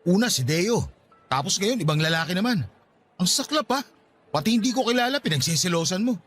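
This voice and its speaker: A man in a radio-style drama playing a defensive, exasperated young husband who answers with rapid, incredulous retorts. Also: high voice, expressive, fairly bright tone, soft background music.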